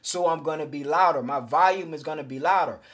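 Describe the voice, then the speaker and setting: High-pitched guy giving pep-talk advice, slangy, cussing, calls you G and fellas. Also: brisk. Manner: energetic, profane, slangy, addresses viewers as G and fellas. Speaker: self-improvement coach. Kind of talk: casual coaching monologue to camera.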